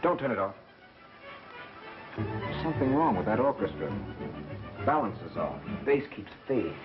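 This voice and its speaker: An actor playing a cool-headed, commanding man in 1930s film style, brisk and matter-of-fact. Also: on the low side, big pitch swings. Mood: brisk, grave. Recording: muffled 1930s film soundtrack with music.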